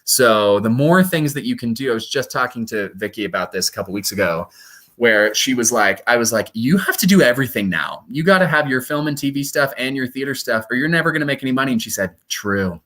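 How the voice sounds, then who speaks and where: A young man from Colorado, a stage performer, chatting casually in an interview with lively, self-deprecating asides. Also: mid-range voice, a little fast. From Colorado, USA. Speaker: guest performer.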